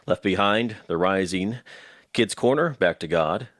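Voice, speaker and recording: Deep-voiced male interviewer, dry sound. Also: measured pace, a bit bright, no room sound. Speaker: interviewer, host. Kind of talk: conversational interview.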